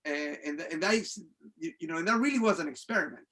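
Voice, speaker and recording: High-voiced man. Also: pushed and bright. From Venezuela. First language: Spanish. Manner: halting, many restarts and fillers. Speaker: playwright and director. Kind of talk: panel discussion.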